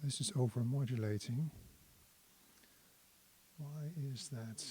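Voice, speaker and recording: A man's voice: mid-range voice, slow, over loud music. A man giving a casual hands-on tutorial, talking as a presenter who thinks aloud while he works. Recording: synthesizer tones behind the voice. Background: synthesizer sounds.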